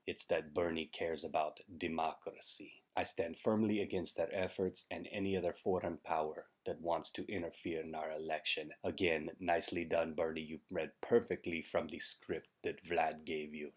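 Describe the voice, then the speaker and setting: Man putting on a deadpan fake Russian agent. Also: low voice, husky. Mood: sarcastic. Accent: put-on Russian. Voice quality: deadpan, sarcastic, broken English. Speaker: comedic character vlogger. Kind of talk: satirical comedy monologue.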